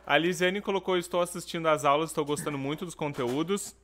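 A man co-hosting a podcast, reading viewer comments aloud in a lively, joking manner. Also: on the high side, quick pace, soft background music. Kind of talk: casual podcast chat.

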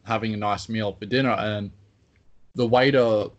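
A man's voice: lowish voice, steady tone, moderate pace, highs cut off. A man with an Australian accent, co-hosting a podcast, telling anecdotes in easygoing casual chat. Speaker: podcast co-host.

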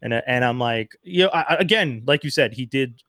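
A man hosting a casual interview who talks in a halting way, often restarting sentences and agreeing along. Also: medium pitch, animated, sharp tone, no echo, clean studio sound. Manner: chatty, halting, quick to agree and laugh. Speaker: podcast host, interviewer.

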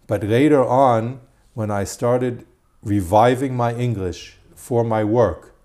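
A middle-aged man with an Israeli accent, a guest and tour guide, talking casually in a measured, slightly halting way. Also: medium pitch, dark tone. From United States. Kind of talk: casual interview conversation.

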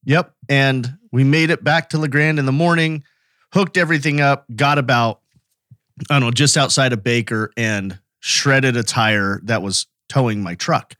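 A man's voice: mid-range voice, moderate pace, sharp tone, dry recording. American man telling stories, dry recording. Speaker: podcast co-host. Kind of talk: casual anecdotal chat.

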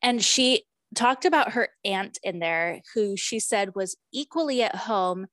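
A woman's voice: mid-range voice, animated, measured pace, fairly bright tone, no echo. A woman co-hosting a casual podcast, talking in a chatty way with many fillers and restarts. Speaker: podcast co-host. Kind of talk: casual chat.

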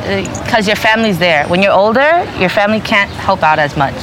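A woman's voice: mid-range voice, lively intonation, fairly quick, background noise. A woman in her twenties chatting in an interview, dropping "you know" often. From New York. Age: 20s. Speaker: interviewee, family store worker. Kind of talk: casual interview.

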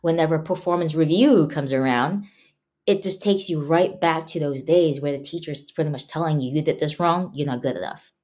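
A woman from Malaysia talks as an interview guest, her voice sitting a little low. She tells her stories with animation and slips into the voices of scolding adults as she quotes them. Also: normal speed, a little mellow. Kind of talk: conversational interview.

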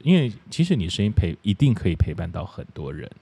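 A man's voice: low-pitched, big pitch swings, fast talker, vocal fry, mellow, breathy edge. A man with a Taiwanese Mandarin accent hosting a podcast in a casual, chatty way. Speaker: podcast host. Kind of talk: casual chat.

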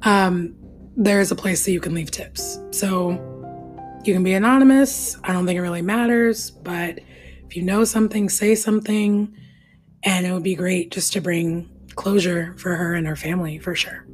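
A woman's voice: lowish voice, moderate pace, faint music behind. A woman co-hosting a casual true-crime podcast, talking earnestly and chattily and laughing easily. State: hoarse, losing her voice. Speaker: podcast co-host.

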